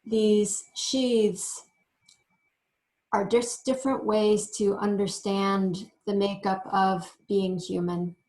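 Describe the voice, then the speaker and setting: A woman teaching yoga meditation, giving gentle instructions in short phrases with frequent pauses. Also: medium pitch, little pitch movement, poor audio. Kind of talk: guided meditation.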